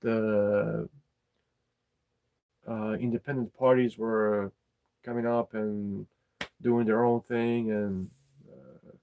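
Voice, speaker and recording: Casting: middle-aged man, low creaky voice, halting, blunt, off-the-cuff podcast monologue. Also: little pitch movement, darkish tone. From former Yugoslavia. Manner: halting, repeats words, blunt and sweary. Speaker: podcast host. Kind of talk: casual opinionated monologue.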